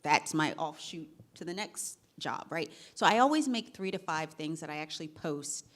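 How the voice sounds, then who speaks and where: Low-pitched woman panelist, conversational and quick with her restarts. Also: expressive. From Virginia, US. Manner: chatty, self-correcting, quick bursts. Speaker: panelist, managing director. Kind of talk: panel discussion.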